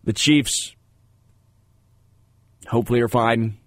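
A man's voice: medium pitch, steady tone, slow pace, no echo, studio quality. An American man hosting a sports talk radio show, slightly congested, speaking informally and emphatically and often repeating himself. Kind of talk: sports talk radio commentary with casual asides.

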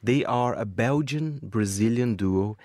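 A man hosting a weekly radio highlights show, chatting cheerfully with his co-host and introducing the next segment. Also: a little slow, a little mellow, no echo. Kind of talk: casual chat and scripted show links.

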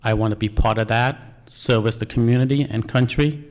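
Male, forties, Cambodian accent, medium pitch. Earnest, deliberate delivery of a prepared personal story in second-language English. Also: steady tone, darkish tone. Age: 40s. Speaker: National Guard member telling life story.

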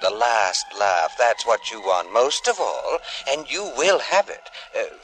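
An actor playing a sly, gloating devil who coaxes patiently in slow, insinuating 1950s radio suspense style. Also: male voice, mid-range voice, animated, fairly bright tone, low-quality recording. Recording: 1950 radio broadcast with music behind.

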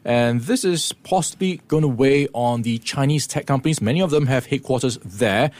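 Guy running through the week's market news, dead dry studio sound, steady. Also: mid-range voice, normal speed, no echo. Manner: steady, explanatory with occasional fillers. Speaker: radio presenter. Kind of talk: business news briefing.

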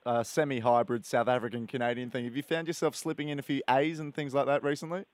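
A man's voice: medium pitch, little pitch movement, fast, no echo. Radio co-host: man, joking banter, dry close studio mic. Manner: jokey, laughing, enthusiastic.

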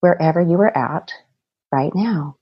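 Female meditation guide, low and very slow, soothing, deliberate pauses between phrases. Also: animated, husky, highs cut off.

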